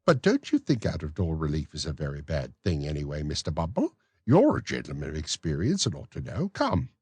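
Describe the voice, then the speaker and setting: A man's voice: extremely low pitch, huge pitch swings, brisk, a little mellow, husky, dry recording, full character voice. A man voicing a coy, genteel workhouse matron in her middle years who talks in a prim, coaxing way.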